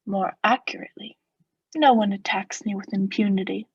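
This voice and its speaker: A young woman playing a coldly vengeful noble who feigns friendship, in a measured, formal, insinuating theatrical radio-play style. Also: medium pitch, steady tone, husky, no room sound, lo-fi sound. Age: young adult. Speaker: student actor.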